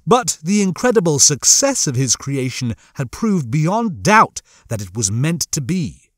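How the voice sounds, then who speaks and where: Man, audiobook narrator, slightly high voice, animated intonation, dramatic storytelling. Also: unhurried, no room sound, clean studio sound.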